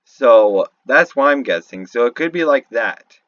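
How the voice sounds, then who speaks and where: Male gaming commentator, mid-pitched, casual and halting, thinking aloud on a dull, lo-fi setup. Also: animated, a bit bright. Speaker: YouTube commentator. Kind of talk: casual off-the-cuff game lore analysis.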